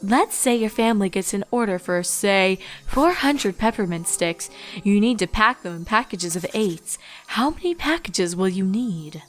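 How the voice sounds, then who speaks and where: A woman voicing a kindly, regal mare schoolteacher in a storybook reading, patient and composed, with a gentle, instructive manner. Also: mid-range voice, animated, moderate pace, soft background music, natural voice. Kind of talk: storybook character voice.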